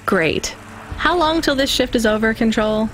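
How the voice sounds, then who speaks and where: An actress playing a young delivery driver in a video game, dry and sarcastic but caring. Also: female voice, on the high side, normal speed, fairly bright tone, soft background music, close to an everyday voice. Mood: wry, sarcastic.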